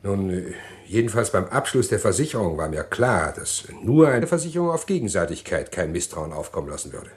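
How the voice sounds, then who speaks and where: An actor playing a middle-aged man who calmly confesses a murder plot in an old radio play, measured and hesitant. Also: low voice. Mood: calm confessing. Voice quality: measured, deliberate, hesitant with pauses. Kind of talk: 1950s radio drama acting.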